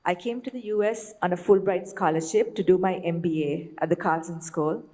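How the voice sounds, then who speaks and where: Low-voiced woman on a panel stage. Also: fairly even intonation, normal speed, warm tone. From Sri Lanka. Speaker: nonprofit leader on a panel. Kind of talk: panel talk to an audience. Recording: long hall reverb.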